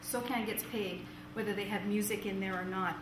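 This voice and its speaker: A woman's voice: mid-range voice, steady tone, brisk. A woman hosting an informal question-and-answer discussion. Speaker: meeting host. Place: echoey meeting room. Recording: echoey room, distant mic, noisy.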